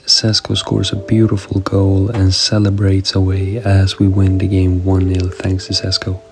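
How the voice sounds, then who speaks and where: A sick young man with a raspy voice, casually narrating a football career mode video with chatty asides. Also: low-pitched. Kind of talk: game commentary voice-over.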